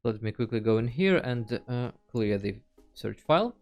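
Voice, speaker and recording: Lively man narrating a casual tutorial. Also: mid-range voice, moderate pace, faint music behind. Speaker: host of a video game tutorial channel.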